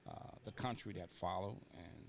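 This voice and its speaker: A man with a fairly low voice hosts a talk show, speaking conversationally and feeling his way through his questions. There is some noise in the background around him. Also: moderate pace, creaky. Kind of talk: conversational interviewing. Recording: noticeable background room noise.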